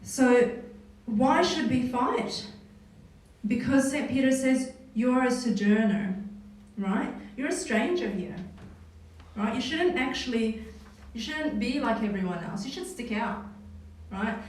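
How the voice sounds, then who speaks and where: A woman's voice: a little high, expressive, slow, long pauses, darkish tone. A woman with a New Zealand accent leading a casual Bible study, talking in a conversational way with frequent "right?" tags. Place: church hall. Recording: echoey hall, mic far off.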